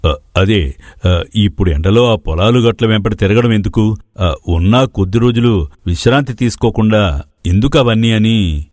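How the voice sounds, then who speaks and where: A man's voice: fairly low pitch, normal speed, darkish tone, no room sound, studio quality, close to an everyday voice. A man playing an anxious, evasive older village farmer father, hesitant and stammering. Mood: flustered.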